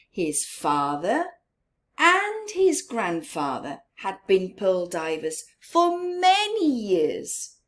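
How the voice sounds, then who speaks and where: A woman hosting a children's story time, reading aloud and pausing to explain things simply and ask young listeners questions. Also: medium pitch, husky.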